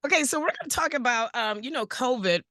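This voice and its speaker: Raspy female co-host, animated and exclaiming, a touch high, dry close sound. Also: fast, no room sound. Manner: animated, exclaiming, self-interrupting. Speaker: podcast co-host. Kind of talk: casual podcast chat.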